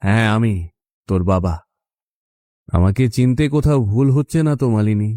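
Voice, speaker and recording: A man voicing the vengeful ghost of a murdered village father in a horror cartoon, speaking deliberately and ominously. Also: lowish voice, expressive, warm tone, dry recording, clean studio sound, close to an everyday voice. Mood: mocking, menacing. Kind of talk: dramatic cartoon horror acting.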